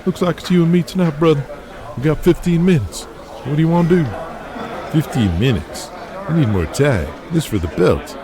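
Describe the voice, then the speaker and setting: A man's voice: on the high side, lively intonation, normal speed, a crowd in the background, pitched above the performer's own voice. A man voicing easygoing 1980s pro wrestlers talking casually backstage in an audio drama. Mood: eager. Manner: casual locker-room talk. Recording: music under the voice.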